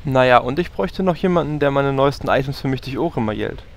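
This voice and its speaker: A man playing a smug gravelly braggart. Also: medium pitch, animated, fast, close to an everyday voice. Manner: smug, demanding. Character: arrogant boastful male hunter player. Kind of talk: amateur audio drama parody.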